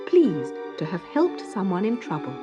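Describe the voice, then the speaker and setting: A woman reading a children's story as narrator, in an expressive, dramatic storytelling manner. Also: medium pitch, normal speed, over music.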